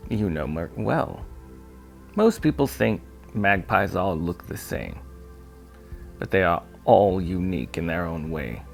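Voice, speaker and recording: A kindly old shopkeeper played by a man reading an audiobook, very gravelly and slow. He speaks with gentle, old-fashioned courtesy, his pitch rising and falling like a born storyteller's. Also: animated, faint music behind, heavily acted. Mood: storytelling, mysterious. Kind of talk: audiobook character voice.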